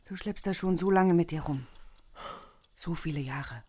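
An actress playing a worried, tender wife in an intimate radio drama, urging and concerned. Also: female voice, lowish voice, normal speed, mellow, breathy edge.

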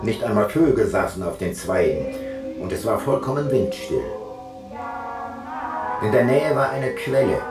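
An actor playing an ancient seafarer who deliberately recounts his expedition logbook in a radio play. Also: lowish voice, animated. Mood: grave. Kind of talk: scripted literary radio-play narration. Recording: roomy sound with music. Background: music.

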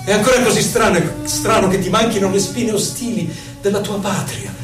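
Exiled poet, nostalgic and solemn, male actor: high-pitched lyrical recitation, set back in a roomy space with violin. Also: steady tone, fairly quick, natural voice. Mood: solemn, melancholic. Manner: dramatic, lyrical, solemn delivery. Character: exiled poet, nostalgic, lovelorn, wandering within himself. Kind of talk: theatrical poetry recital with violin. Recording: roomy, distant voice under violin music. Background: violin music.

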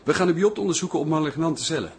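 A man's voice: a little high, racing, noisy background, natural voice. An actor playing a young male medical trainee in a radio drama, polite, formal and a little awkward as he explains. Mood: polite, clinical. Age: young adult. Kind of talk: Dutch radio drama acting.

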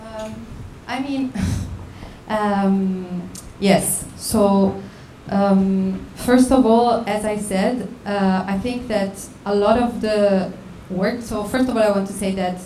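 A woman on a panel speaking in an earnest, emphatic way, with some halting restarts. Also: medium pitch, mellow. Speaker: panel speaker on legal support. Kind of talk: panel talk. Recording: echoey room with faint noise.

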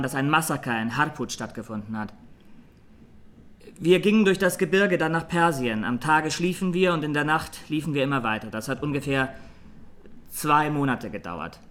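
An actor playing a young Armenian massacre survivor giving halting, sombre testimony in a radio drama. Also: male voice, fairly high pitch, fairly bright tone.

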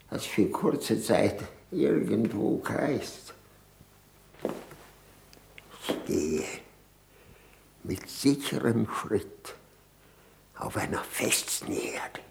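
An actor playing a very old, indignant, grandiose cardinal in a 1960s German radio play, declaiming pompously and haltingly. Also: male voice, lowish voice, vocal fry, a little mellow, heavily acted. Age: older. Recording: roomy echoing 1960s radio studio.

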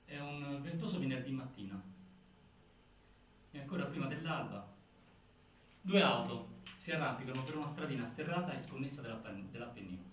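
A man giving dramatic scripted narration, deliberate and full of pauses, recorded from a distance in a very reverberant space. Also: medium pitch, animated, a bit bright. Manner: deliberate, with pauses and repeated phrases. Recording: very echoey stage, distant mic, faint noise.

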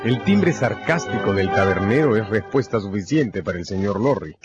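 Low, very expressive male narrator. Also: normal speed. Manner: theatrical, solemn. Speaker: narrator of a radio drama. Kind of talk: dramatic scripted narration. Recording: dull radio sound with prominent music.

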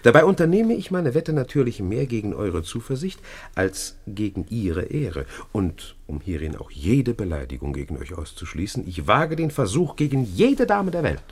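An actor playing a brash, scheming seducer in a classical German radio play, with boastful, declamatory delivery. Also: male voice, lowish voice, very animated, normal speed, darkish tone, husky, exaggerated voice. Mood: boastful, provoking.

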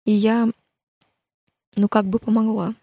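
A woman's voice: mid-range voice, little pitch movement, unhurried, vocal fry, warm tone, husky, no room sound. Sombre, guarded teenage girl voiced by a woman. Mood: guarded, confessing. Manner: terse, guarded.

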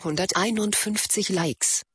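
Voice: mid-range voice, a little slow, a bit bright, breathy edge, dry recording, clean studio sound. An announcer in a radio drama reading out short scripted entries in a formal announcing style. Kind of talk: scripted announcing of dates and like counts.

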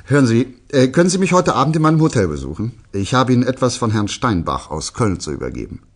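Radio drama detective: brooding, secretive, clipped and commanding, with a widely varied mid-range voice. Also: male voice, medium pitch, big pitch swings, fast. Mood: brisk, persuasive. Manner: muttering to himself, clipped, commanding. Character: brooding, self-assured male detective, curt and secretive. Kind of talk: German radio play acting.

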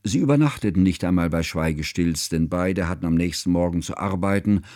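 An actor playing an earnest, scholarly friend narrating a composer's life in a formal, measured literary radio drama style. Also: male voice, low-pitched, darkish tone, no room sound, clean studio sound. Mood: reflective.

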